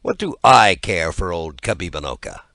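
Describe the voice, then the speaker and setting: Guy playing a cocky happy fisherman from a storybook, defiant, low voice that bounces around a lot. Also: very animated, moderate pace, gravelly, sharp tone, no room sound, studio quality, exaggerated voice. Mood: cheerful defiance. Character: laughing, fearless male fisherman with magic powers. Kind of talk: storybook character reading.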